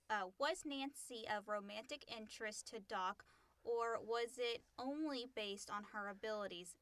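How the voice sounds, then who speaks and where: A woman's voice: fairly high pitch, bright, forceful tone, dry recording. A woman co-hosting a podcast in casual question-and-answer chat from a small booth. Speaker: podcast co-host. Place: small enclosed recording booth.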